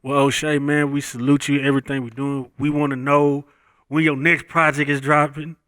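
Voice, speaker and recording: A man's voice: on the high side, little pitch movement, moderate pace, a bit bright, dry recording. Dry-sounding American man hosting casually. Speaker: interviewer.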